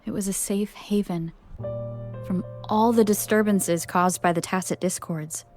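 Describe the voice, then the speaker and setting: A woman voicing a calm, thoughtful young woman in a video game, speaking with composure. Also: mid-range voice, a little slow, faint music behind, natural voice. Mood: calm, reflective. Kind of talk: scripted video game dialogue, recounting.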